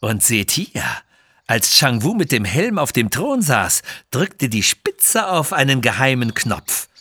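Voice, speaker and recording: A man performs a greedy villain lord in an audio storybook, boastful and full of menace. His voice is husky and swings widely in pitch as he gloats pompously. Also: very animated, slow, sharp tone, dry recording, exaggerated voice. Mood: gloating.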